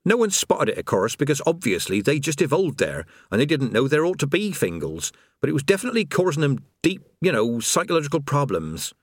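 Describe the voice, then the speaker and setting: A man doing a breezy creator of worlds who chats like a workman on a job, his voice bobbing up and down in a lively way. He sounds a little higher than an everyday voice, and the recording is dry and close. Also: a little fast, hardly any pauses, no room sound. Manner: chatty, rambling, casual workman's talk. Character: cheerful absent-minded male creator of worlds, chatty tradesman.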